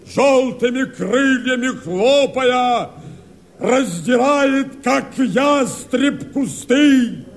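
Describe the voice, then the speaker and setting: A man's voice: extremely high pitch, exaggerated voice. A man acting a fiery Cossack rebel rallying the people, declaiming verse in a radio drama. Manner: declamatory, slow, drawn-out delivery with shouts.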